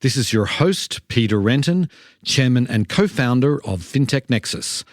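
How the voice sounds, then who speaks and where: A man's voice: medium pitch, lively intonation, a little slow, no room sound, studio quality. A man hosting a podcast, reading an upbeat introduction and then asking interview questions. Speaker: podcast host.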